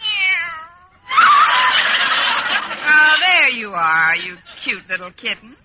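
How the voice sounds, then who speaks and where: An actress playing a wry, long-suffering schoolteacher with dry sarcasm in an old radio comedy. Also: female voice, a little high, very animated, takes it slowly, bright, forceful tone, full character voice. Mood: wry exasperation. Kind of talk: 1940s-50s radio comedy acting. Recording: muffled, faintly noisy old radio recording.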